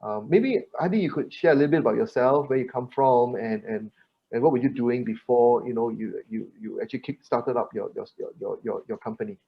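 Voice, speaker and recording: A man hosting a panel webinar, speaking haltingly with many fillers, repeated words and tag questions. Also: medium pitch, vocal fry, slightly rough, lo-fi sound. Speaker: webinar moderator.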